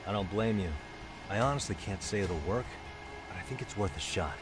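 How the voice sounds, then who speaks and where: A man playing a level-headed private detective in a video game, speaking in a measured, assured way. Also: lowish voice, over music, close to an everyday voice. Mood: earnest, determined. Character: level-headed male private detective plotting against bullies. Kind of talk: video game dub acting.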